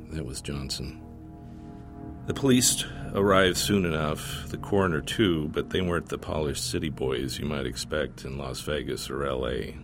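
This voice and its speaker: A man's voice: very deep voice, vocal fry. A man reading his short story as a scripted narration in a wry, folksy storytelling manner.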